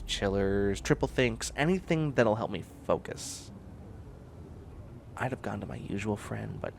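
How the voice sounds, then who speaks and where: A man's voice: lowish voice, vocal fry, a little hiss or hum. A man playing a tired, prickly, bookish biotech student in a sci-fi comedy audio roleplay, with a wry, fussy, rambling delivery. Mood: frazzled, wry. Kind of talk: scripted comedic audio roleplay, one side of a conversation.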